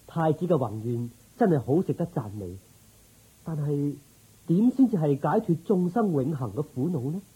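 A man's voice: a little high, expressive, moderate pace, dark tone, husky, low-quality recording. A man playing a loyal, reverent royal minister in a Cantonese radio drama, formal and deferential in his speech. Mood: respectful.

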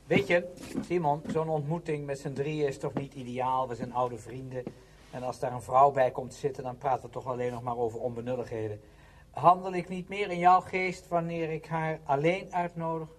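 An actor playing a self-assured, condescending musician in a radio drama, brisk and persuasive. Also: male voice, mid-range voice, steady tone, slight background noise, lightly acted. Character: smooth-talking, self-assured musician, man, condescending to his friend.

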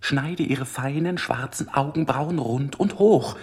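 An actor voicing a sly, insistent ventriloquist's dummy in a German radio crime drama, raspy and half-whispered, coaxing in slow repeated commands. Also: medium pitch, darkish tone. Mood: insistent, urging.

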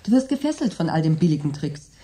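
A stubbornly rational woman in a radio play. Her voice is lowish and very mellow, with a composed, slightly sardonic delivery that insists on logic. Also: very animated, fast talker. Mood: composed, sceptical. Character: dry, stubbornly sceptical woman seeking logic. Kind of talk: German radio drama acting.

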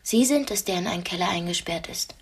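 An actress playing a defiant, scornful young woman held captive, speaking bluntly with a husky voice in a radio drama. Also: lowish voice, fast talker. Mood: scornful, demanding. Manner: blunt, cutting.

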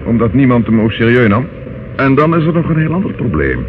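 An actor playing a determined police detective in a radio play, matter-of-fact and questioning. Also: male voice, mid-range voice, very animated, fairly quick, close to an everyday voice. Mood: pondering. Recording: muffled, noisy old radio recording.

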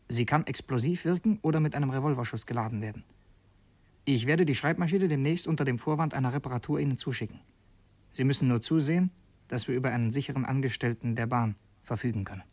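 A man's voice: mid-range voice, natural voice. An actor playing an earnest revolutionary who narrates his secret mission in a literary radio drama, introspective and brooding. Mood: brooding, calculating.

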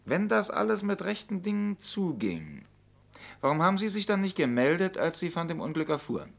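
An actor playing a sardonic, sceptical police investigator in a mid-century German radio crime play, questioning with pointed irony. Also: male voice, sits high, sing-song, moderate pace, gravelly, heavily acted. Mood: sarcastic, insistent.

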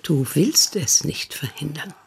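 A woman's voice: low voice, lively intonation, unhurried, vocal fry, a little mellow, husky, full character voice. An actress playing an older, protective and prying sister who questions her brother reproachfully in a radio play. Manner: reproachful, probing, insistent.

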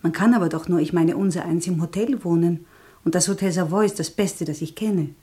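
Mellow, confiding young variety dancer played by a woman. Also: fairly low pitch, brisk, natural voice. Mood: inviting, secretive. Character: Stasia, young variety dancer, secretive, chatty, dreaming of escape. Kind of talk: radio drama dialogue. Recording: long reverberant room sound.

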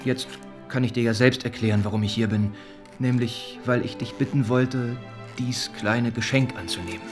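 An actor playing a kind, earnest young gentleman in a radio play, speaking gently and formally. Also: medium pitch, fairly even intonation, moderate pace, lightly acted. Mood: warm, slightly awkward. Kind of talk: German radio play acting. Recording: studio radio play with music underneath.

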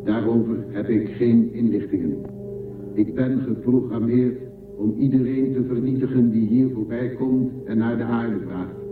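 An actor playing a programmed machine guardian of an ancient library in a science fiction radio drama, speaking formally and in measured phrases. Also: male voice, medium pitch, fairly even intonation, lightly acted. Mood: impassive. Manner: formal, measured, matter-of-fact. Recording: echoey, distant, narrow-band, music behind.

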